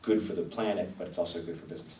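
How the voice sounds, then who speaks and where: A man argues his policy points earnestly and with emphasis. His voice is low and mellow. He is heard from off-mic, with room echo and faint noise behind him. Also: fairly even intonation, very fast, recorded from a distance. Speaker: panel guest. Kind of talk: policy discussion. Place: TV studio.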